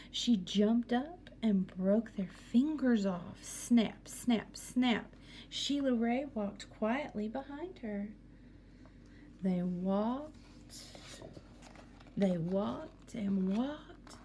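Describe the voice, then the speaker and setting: Slow-paced woman reading to children. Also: a little high, a little hiss or hum. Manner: expressive, with questions to young listeners. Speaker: teacher reading to students. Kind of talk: picture book read-aloud with asides to children.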